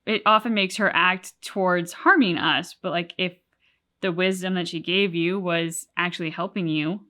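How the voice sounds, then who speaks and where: Woman co-host, lowish, sharp-toned voice, reflective reaction chat. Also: fairly even intonation, moderate pace. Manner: thoughtful, analytical, with frequent "like" fillers. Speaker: co-host of a game reaction channel. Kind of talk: casual discussion reacting to game cutscenes.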